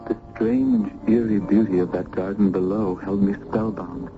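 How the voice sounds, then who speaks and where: An actor playing an earnest, courteous young Italian gentleman student in a radio drama, speaking formally and a little hesitantly. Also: low-pitched, steady tone, normal speed, over music, lightly acted. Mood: awed narration. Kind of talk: 1970s radio drama acting and narration. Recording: noisy, phone-like old radio broadcast.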